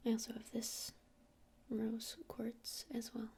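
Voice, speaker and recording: A woman playing a gentle crystal-shop witch in an ASMR roleplay, whispering breathily and explaining things unhurriedly with hesitant pauses. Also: a little high, monotone, heavily acted. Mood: soothing, earnest. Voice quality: breathy whisper with creaky edges.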